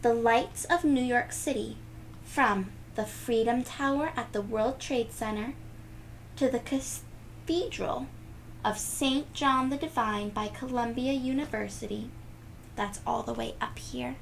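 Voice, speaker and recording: Lady doing kids read-aloud, high voice, slow and expressive, bit of background noise. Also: creaky, poor audio. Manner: animated, emphatic, drawn-out words, gasps and sound effects. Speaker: children's read-aloud host. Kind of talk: expressive storybook reading with asides to children.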